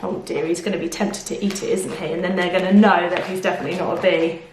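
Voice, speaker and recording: A woman reading a picture book aloud to children, expressive, with explanations and questions to the listener. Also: fairly low pitch, fast, slight background noise. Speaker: children's storyteller. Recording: echoey room.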